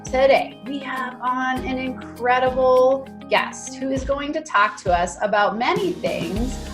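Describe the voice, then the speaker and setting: High-voiced chatty woman podcast host. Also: measured pace, music in the background. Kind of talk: podcast intro and interview.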